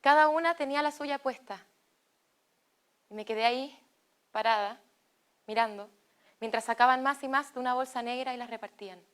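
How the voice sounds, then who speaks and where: A young woman with a Chilean Spanish accent narrates a personal testimony in a slow, deliberate way with pauses. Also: sits high, fairly bright tone, clear, resonant tone.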